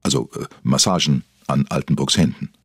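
Deep, husky man acting a documentary narrator. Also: a little fast, no echo, studio quality, full character voice. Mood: solemn, dramatic. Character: male documentary narrator, solemn, mock-investigative.

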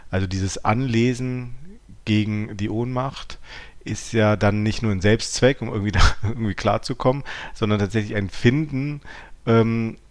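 A man's voice: fairly low pitch, normal speed, darkish tone. A man co-hosting an intellectual podcast, reflective and searching as he rephrases his thoughts. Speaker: podcast co-host.

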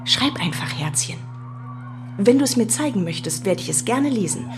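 A woman's voice: medium pitch, big pitch swings, moderate pace, over music, natural voice. A woman playing a patient, encouraging primary school teacher in a radio drama. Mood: gentle, encouraging. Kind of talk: German radio drama acting.

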